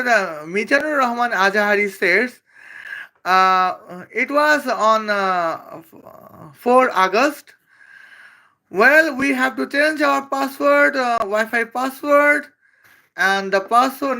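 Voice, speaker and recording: Halting speaker, bright tone. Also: mid-range voice, creaky. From Bangladesh. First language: Bengali. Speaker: video creator. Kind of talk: rambling comedic roast commentary.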